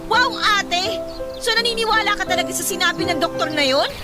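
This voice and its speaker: A woman's voice: extremely high pitch, fairly quick. A woman acting as a devoted, stubborn younger daughter in a Tagalog family radio drama, speaking expressively over music. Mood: defiant, upset.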